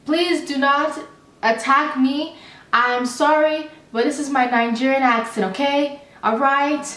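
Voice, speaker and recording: A young adult woman telling a storytime vlog in a chatty, animated, rambling way with many fillers. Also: high voice, a little slow. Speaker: vlogger. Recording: roomy, slightly distant mic.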